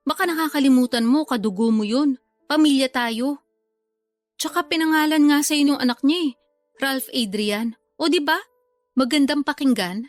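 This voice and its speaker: A woman's voice: sits high, moderate pace, pauses often, soft background music, natural voice. An actress playing a stubborn, touchy older sister and young grandmother who pleads and wheedles in a Tagalog radio drama. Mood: coaxing, apologetic.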